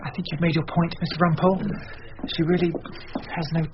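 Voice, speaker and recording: An actor playing a polite, flustered young prosecuting barrister with a formal, deferential manner in a radio drama. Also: male voice, on the high side, level tone, normal speed, lo-fi sound, very noisy, natural voice. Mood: conceding.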